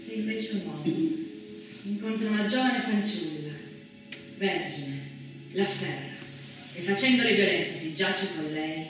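An actress playing a solemn female narrator, reciting scripture and law in a deliberate, declamatory theatrical style. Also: mid-range voice, distant mic, noisy background, natural voice. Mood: solemn, stern. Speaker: stage actress. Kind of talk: theatrical dramatic recitation of documentary texts. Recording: very echoey stage from afar, music.

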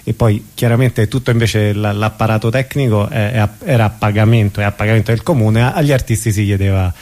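A man's voice: on the low side, fast, darkish tone. A man interviewing guests on the radio in a casual, conversational way.

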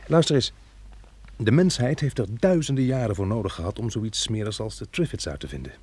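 An actor playing a steady, resolute man who leads survivors in a radio drama. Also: lowish voice, a little fast, husky, dry recording. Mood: earnest, reflective. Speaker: lead actor and narrator in a radio play.

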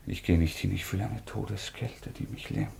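A low male voice belongs to a proud young nobleman tormented by guilt. He speaks in lyrical, fervent declamation, every phrase shaped as theatrical radio drama. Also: steady tone, fairly quick, vocal fry, a little mellow. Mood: horrified, pleading.

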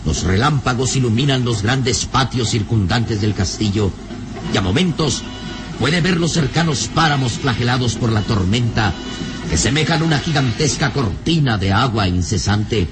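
A man narrating an old radio serial in a dramatic, deliberate, suspenseful scripted style. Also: medium pitch, animated, music in the background.

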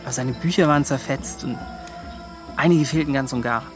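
An actor playing an eager, impulsive young adventurer in a German radio play, earnest and excitable. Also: male voice, mid-range voice, a little fast, music in the background, close to an everyday voice. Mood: indignant recounting.

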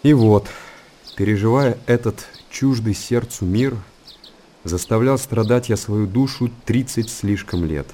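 An actor playing an aging, world-weary hermit monk in a radio monologue, speaking in a measured, pensive and solemn way. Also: male voice, on the low side, mellow, slight background noise, natural voice. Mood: wistful.

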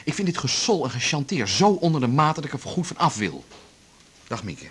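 An actor playing a secretive, controlled husband in a naturalistic radio drama, husky and measured. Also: male voice, on the high side, very animated, a bit bright, heavily acted. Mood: firm, controlled. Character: secretive husband buying a secret deal, calm but firm. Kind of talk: naturalistic 1980s Dutch radio drama acting.

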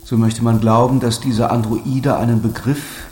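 An actor playing a courteous but sceptical English lord in a radio drama. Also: male voice, mid-range voice, little pitch movement, moderate pace, warm tone, natural voice. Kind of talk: German radio drama acting. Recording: roomy echo, faint music.

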